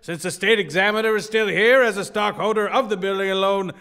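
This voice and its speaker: Radio play villain: male actor as ruthless old banker, bright cutting high voice, contemptuous and taunting. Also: steady tone, clean tone. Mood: contemptuous, threatening. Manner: mocking, contemptuous, drawn-out taunting.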